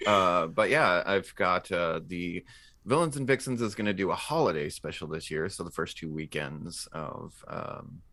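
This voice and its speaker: A man's voice: low-pitched, expressive, normal speed, sharp tone. A man chatting casually on a live podcast, giggly and given to chuckling as he talks. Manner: giggly, chuckles while talking. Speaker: actor and podcast cast member.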